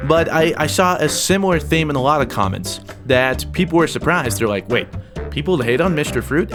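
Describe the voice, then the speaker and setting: A man hosting a casual vlog, upbeat and energetic as he reads viewer comments. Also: mid-range voice. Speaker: YouTube gaming channel host.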